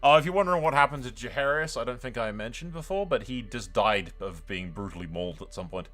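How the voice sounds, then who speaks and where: A man's voice: mid-range voice, animated, fast talker, a bit bright. A man giving casual, sweary gaming commentary as host, with a wry, joking and informal manner. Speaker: video game streamer, host.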